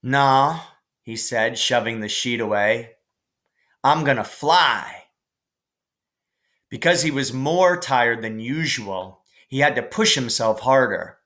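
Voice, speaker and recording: A man reading a children's novel aloud as scripted narration, in a measured, careful way. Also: medium pitch, expressive, a bit bright. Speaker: audiobook narrator.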